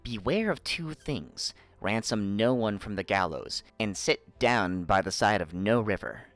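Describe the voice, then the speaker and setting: Man voicing a cunning old fox, animated counsellor. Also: lowish voice, a little slow. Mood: grave counsel. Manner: instructing, emphatic. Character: cunning old male fox, wise helper giving counsel. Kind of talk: storybook character reading.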